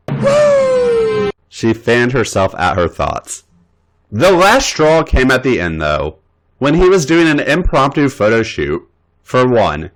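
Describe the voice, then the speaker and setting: A man reading erotic fan fiction aloud as a podcast host, playful and theatrical. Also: medium pitch.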